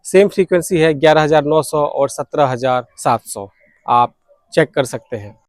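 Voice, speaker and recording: Guy vlogging, kinda high voice, close dry sound, explains stuff step by step. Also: normal speed, no room sound. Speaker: shortwave radio vlogger, host. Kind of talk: informal explanatory narration.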